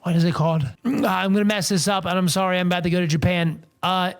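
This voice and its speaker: A man's voice: sits high, steady tone, fast, creaky. A man hosting a casual video game review, talking conversationally with ad-libbed asides and punchy exclamations.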